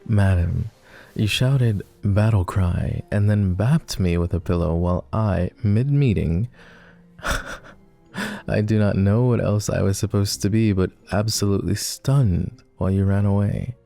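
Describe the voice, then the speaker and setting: A man voices a refined, doting vampire gentleman for romantic roleplay audio. His voice is very low with a gravelly creak, and he speaks in slow, formal phrases. He is courtly and affectionate, with a gentle teasing streak. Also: soft background music. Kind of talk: romantic roleplay ASMR acting.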